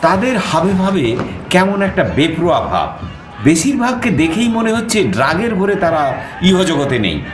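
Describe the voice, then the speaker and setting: A man's voice: high-pitched, normal speed, reverberant. An actor playing a theatrical raconteur who tells his own travel adventure as a dramatised audio story. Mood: animated storytelling. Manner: expressive, theatrical. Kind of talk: dramatised audio story narration in first person. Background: background music.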